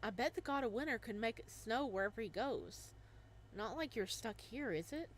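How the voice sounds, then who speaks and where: A woman's voice: on the high side, moderate pace, a little hiss or hum, close to an everyday voice. A woman playing a dry, skeptical young florist man. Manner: skeptical, deadpan.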